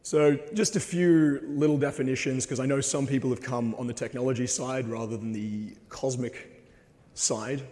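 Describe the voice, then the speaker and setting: A man giving a conference talk with slides, slightly hoarse and hesitant, with frequent ums. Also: medium pitch, steady tone, normal speed, warm tone. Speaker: conference speaker. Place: conference hall. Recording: long hall reverb.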